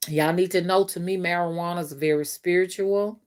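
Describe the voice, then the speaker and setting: A woman's voice: lowish voice, steady tone, fairly quick. A woman hosting a casual spiritual live stream on her phone, rambling and repeating herself as she talks straight to her viewers and reads their comments. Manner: rambling and repetitive, addresses viewers directly.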